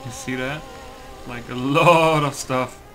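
A man's voice: mid-range voice, steady tone, slow, a bit bright. Man musing through gameplay, music behind. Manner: musing aloud, asking himself questions. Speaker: gaming video host. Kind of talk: casual gameplay commentary.

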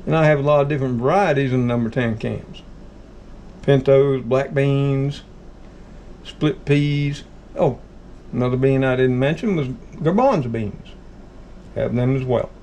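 A man's voice: mid-range voice, a little slow, long pauses, darkish tone, slight background noise. A middle-aged Southern American man in his home kitchen, talking viewers through his food stockpile in a folksy, plain-spoken way. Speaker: channel host. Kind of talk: casual how-to talk.

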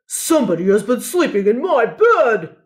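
A woman voicing a big father bear in a children's storybook, loud, roaring and outraged. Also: on the high side, big pitch swings, normal speed, pitched above the performer's own voice. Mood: roaring outrage.

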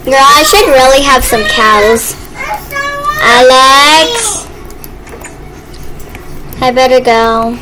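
A young woman with a very high voice chats at a slow pace as she plays out a role in a video game. She sounds playful and teasing, and the home recording has clear background noise. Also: animated. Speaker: gamer playing a role-play game with a friend. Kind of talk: casual role-play chat during gameplay.

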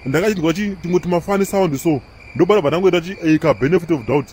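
A man's voice: fairly high pitch, racing, a little mellow, a little hiss or hum, close to an everyday voice. A man voicing a stern, swaggering Zimbabwean police officer who blusters and interrogates in a comedy cartoon. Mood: irritated, scolding.

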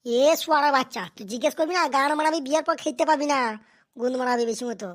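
An excitable, scheming village elder from a comedy cartoon played by a performer. The voice is high and rattles along at breakneck speed. The delivery is coarse, full of scolding and haggling. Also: quick pace. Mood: angry scolding.

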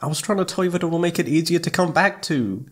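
A man playing a needy, affectionate young boyfriend in an intimate ASMR roleplay, speaking in a soft, pleading, hesitant way. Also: fairly high pitch, fast talker, close to an everyday voice. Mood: coaxing. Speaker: audio roleplay voice actor.

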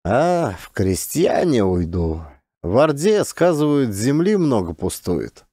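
A man's voice: medium pitch, sing-song, takes it slowly, mellow, dry recording, studio quality. A man voicing a small, meek, long-suffering peasant forester with mild, resigned, folksy rural speech in an audiobook. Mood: patient, wistful.

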